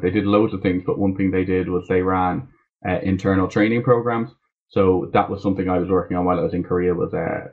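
A young Irish man, a pharmacy student, chatting casually as a guest over a video call. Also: low voice. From Ireland. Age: young adult. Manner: relaxed, rambling with fillers. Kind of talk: casual interview chat. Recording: muffled phone-like call audio.

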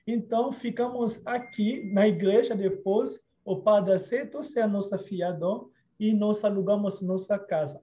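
A man from Congo, not a native speaker of Portuguese, earnestly telling his life story in an interview. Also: sits high. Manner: earnest storytelling with frequent restarts and soft laughs.

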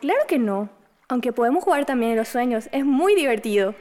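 Woman voicing a high-pitched, playful moon girl. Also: expressive, brisk, darkish tone, close to an everyday voice. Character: playful, gentle moon girl, mysterious blue-haired child visitor. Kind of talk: audio drama acting.

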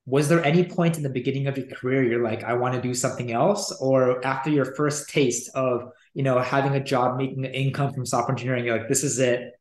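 A male podcast host with an average-pitched voice asks long, friendly questions. He tends to pile up fillers and rephrase himself. The recording has a roomy echo around him. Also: steady tone, fast talker, hardly any pauses. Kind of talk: casual interview hosting.

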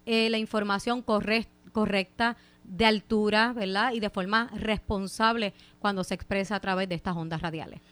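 A woman's voice: mid-range voice, steady tone, moderate pace, fairly bright tone, dry recording. A woman with a Puerto Rican accent, a legislator, speaking politely as a radio guest with some hesitant fillers. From Puerto Rico. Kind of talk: formal, courteous radio chat. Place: radio studio.

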